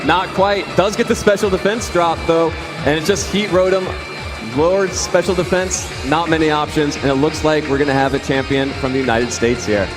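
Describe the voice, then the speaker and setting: A man giving live play-by-play commentary at a competitive gaming tournament, calling the action with rising excitement. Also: fairly high pitch, moderate pace. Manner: excited calls, building to big announcements. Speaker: esports commentator. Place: event arena. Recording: loud crowd and music under voice. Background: cheering crowd and music.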